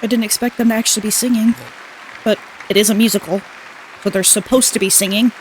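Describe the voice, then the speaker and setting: Female streamer, mid-pitched and rapid, offhand game reactions, faint room noise. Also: fairly even intonation, some chatter behind, low-quality recording. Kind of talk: casual game reaction commentary.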